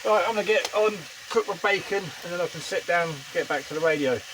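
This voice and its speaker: A man's voice: high-pitched, brisk, background noise. A man with a British accent chatting casually to camera as he vlogs from inside a small motorhome. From United Kingdom. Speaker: vlogger and amateur radio hobbyist. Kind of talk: casual vlog chat to camera.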